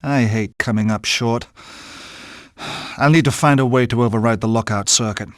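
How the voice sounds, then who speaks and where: An actor playing a suave, wry British secret agent with a dry, teasing manner in a video game. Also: male voice, medium pitch, moderate pace, no room sound, close to an everyday voice. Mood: focused.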